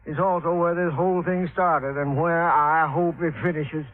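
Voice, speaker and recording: A man's voice: on the high side, fairly even intonation, normal speed, natural voice. A man playing an anxious middle-aged small-town insurance salesman with a Southern US accent and folksy, plain-spoken talk, in 1950s radio drama style. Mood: folksy, explaining. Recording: muffled vintage radio recording.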